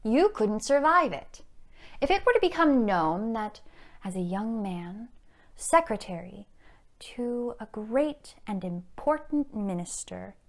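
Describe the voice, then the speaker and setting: A woman's voice: on the high side, sing-song, slow, heavily acted. A woman playing a cunning, elegant society blackmailer in a period stage monologue, arch, mocking and theatrically emphatic. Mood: taunting menace.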